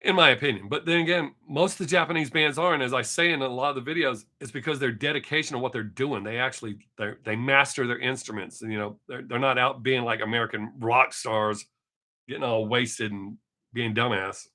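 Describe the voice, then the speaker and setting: A man's voice: mid-range voice, brisk, bright, forceful tone. A man in his fifties with a Texan accent, chatting casually and rambling on his live stream with some cursing. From Texas. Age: 50s. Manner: rambling, profane, chatty with viewers. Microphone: webcam mic.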